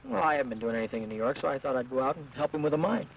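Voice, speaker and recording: A man's voice: mid-range voice, animated, rapid-fire, gravelly, slight background noise. An actor playing an eager, cheerful young Irish-American man who talks in a chatty way, in 1940s radio acting. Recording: muffled old radio broadcast.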